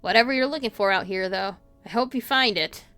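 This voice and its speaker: A woman voicing a gruff but kindly older man while reading a visual novel aloud. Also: medium pitch, a little fast, bright, forceful tone, dry recording, soft background music, lightly acted. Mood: reassuring.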